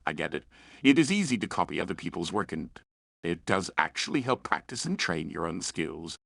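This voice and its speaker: Animated man reviewer with a gravelly edge. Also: low voice, no echo. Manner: chatty, self-aware, opinionated. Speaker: host and reviewer of fan fiction and audio dramas. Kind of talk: scripted review narration with playful asides.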